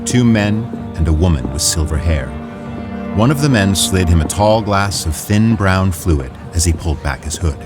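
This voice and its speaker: A man narrating an audio drama as a measured, atmospheric storyteller. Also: low voice, lively intonation. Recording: loud music under the voice.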